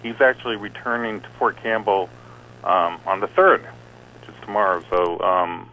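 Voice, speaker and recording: A man's voice: fairly low pitch, steady tone, normal speed, sharp tone, slight background noise. A middle-aged man talking casually as a guest in a radio interview. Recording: dull, lo-fi radio audio.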